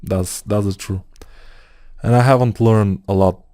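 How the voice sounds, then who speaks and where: Bulgarian-accented guy walking through a 3d model, slow and halting, dark mellow voice. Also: on the low side, steady tone, dry recording. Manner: thinking aloud, hesitant with fillers, non-native phrasing. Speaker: 3D modeling tutor. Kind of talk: screen-recorded software tutorial.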